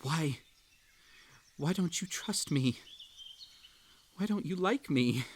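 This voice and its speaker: A man playing an obsessive, unhinged magical hare hosting a tea party in a roleplay audio, with a theatrical, halting delivery that swings between sweet coaxing and menace. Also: fairly high pitch, animated, breathy edge, no room sound, exaggerated voice. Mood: desperate, menacing. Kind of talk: roleplay audio acting, intimate second-person address.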